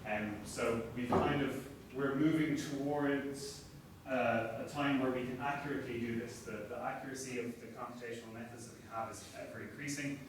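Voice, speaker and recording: A young Irish man giving an academic talk as a lecturer, relaxed and self-deprecating, with frequent fillers. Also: medium pitch, moderate pace, few pauses. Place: seminar room. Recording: echoey room from far off, noisy.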